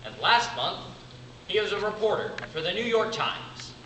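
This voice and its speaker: A man acts out a devoted, weary big brother in a theatrical monologue. His voice is fairly high and swings widely, with deliberate pauses between short phrases. It is heard from a distance over clear noise in an echoey room. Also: animated, sharp tone. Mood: earnest, emphatic. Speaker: amateur drama club actor.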